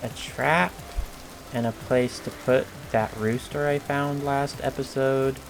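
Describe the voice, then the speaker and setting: A hoarse man giving casual, hesitant commentary over a video game, thinking aloud with frequent pauses and fillers. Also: medium pitch, steady tone, a little hiss or hum. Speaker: gameplay video creator. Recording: clear game music under the voice. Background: game music and rain sounds.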